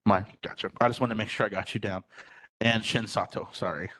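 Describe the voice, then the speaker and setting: A man's voice: lowish voice, steady tone, a little fast, fairly bright tone. A man running a roll-call vote at a public meeting, apologetic and self-correcting.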